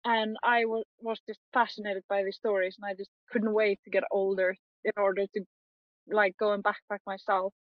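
A young woman photographer chatting in an interview, talking quickly with many fillers and frequent laughs. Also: mid-range voice, little pitch movement, no echo, lo-fi sound. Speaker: photographer guest. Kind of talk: casual interview chat.

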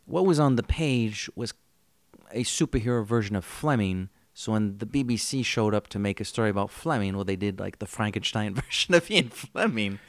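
A man's voice: fairly low pitch, expressive, normal speed, no echo. A man co-hosting a casual film discussion with a wry, blunt, playful way of talking. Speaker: podcast co-host.

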